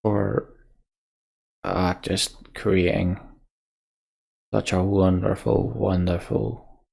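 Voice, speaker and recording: A man hosting a gaming let's play, talking casually to his viewers in a halting, reflective, heartfelt way. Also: low-pitched, fairly even intonation, creaky, a little mellow, husky. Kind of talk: casual sign-off chat to viewers.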